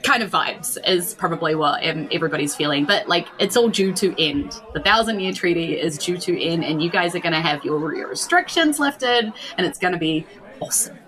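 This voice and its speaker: A woman's voice: lowish voice, animated, fairly quick, fairly bright tone. A woman with a New Zealand accent narrating a tabletop roleplay game as game master in a chatty, informal way.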